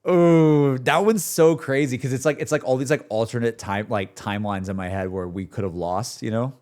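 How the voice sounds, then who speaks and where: A man's voice: medium pitch, normal speed, a little mellow. A man streaming casual, slangy, exclamatory reactions to a game as he talks to his viewers.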